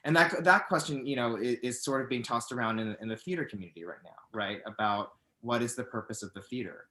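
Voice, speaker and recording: A man's voice: medium pitch, quick pace, sharp tone, echoey room. A man on a video call panel, talking in a rambling, searching way and often checking with "right?". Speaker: panelist, theater artist.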